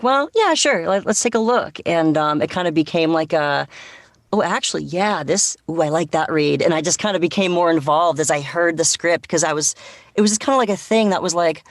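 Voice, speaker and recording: A woman voice actor chatting casually and excitedly on a panel, slipping into quoted reactions in an informal way. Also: lowish voice, dry recording, studio quality. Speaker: voice actor and casting helper.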